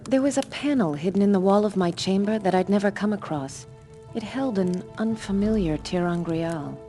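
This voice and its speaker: A woman's voice: medium pitch, moderate pace, mellow, faint music behind, natural voice. A woman playing an earnest, doubting young sorceress in a fantasy game, with an urgent, questioning way of talking. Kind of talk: scripted fantasy game acting.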